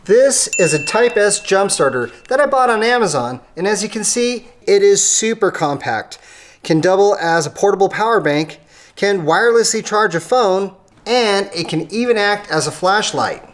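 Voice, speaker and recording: An American how-to host with a mid-pitched voice, explaining a hands-on job step by step in a casual, conversational way. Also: expressive, moderate pace. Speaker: host of an automotive how-to channel. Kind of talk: casual how-to tutorial narration.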